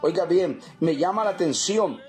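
A man from El Salvador delivers a sermon, his voice pitched high. He preaches fervently, repeating his points and turning to his listeners as brothers. Soft music plays faintly behind him. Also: animated, normal speed.

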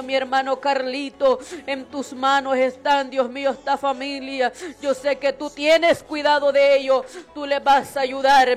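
Very high-pitched voice in fervent prayer, monotone. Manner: pleading, repetitive invocations of God. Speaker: worshipper leading intercessory prayer.

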